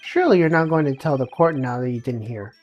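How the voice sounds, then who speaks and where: A woman's voice: very deep voice, lively intonation, quick pace, darkish tone, lo-fi sound, faint music behind. A woman streamer reading game dialogue aloud theatrically, switching between character voices.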